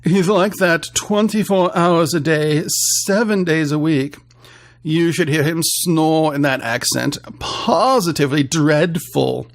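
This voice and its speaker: Man acting a story's wealthy snob, pompous, disdainful, thick snooty accent, slow drawn-out words, creaky. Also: fairly high pitch, lively intonation. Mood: scornful.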